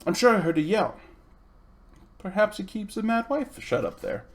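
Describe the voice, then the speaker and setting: Storybook schoolboy, played by a man: plaintive, wound-up, highish voice with lively swings. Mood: secretive, excited. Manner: wound-up, plaintive, talks in rushes. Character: miserable, indignant young boy, blurting out troubles.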